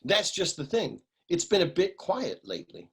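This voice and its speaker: A world-weary private eye played by a man in a comic noir radio play. His voice is husky and slightly high for a man, with very animated intonation. He grumbles and cracks crude, cynical jokes. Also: normal speed, heavily acted. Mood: deadpan grumbling.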